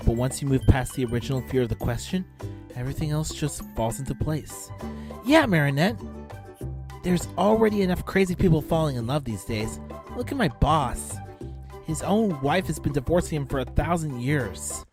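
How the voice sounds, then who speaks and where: Man as a lively, sincere teen boy. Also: fairly high pitch, normal speed. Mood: reassuring, wry. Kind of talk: fan audio drama acting. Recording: music behind the voice.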